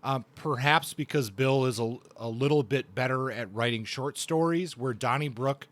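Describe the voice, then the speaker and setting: A man hosting a casual book review podcast, speaking in a thoughtful, halting way with repeated words. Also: medium pitch, a bit bright.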